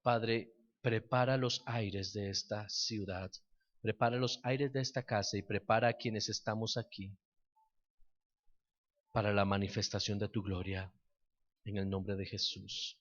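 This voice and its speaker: A man preaching a fervent sermon that turns into a declared prayer, repeating words as he builds to loud peaks. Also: on the low side, level tone, unhurried, lo-fi sound. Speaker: pastor.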